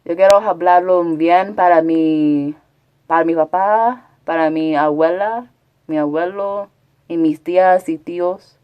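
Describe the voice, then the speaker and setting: A woman's voice: on the low side, normal speed, smooth, no echo, highs cut off. A chatty woman from Texas, rambling casually as a live streamer and answering her chat. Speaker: Twitch streamer.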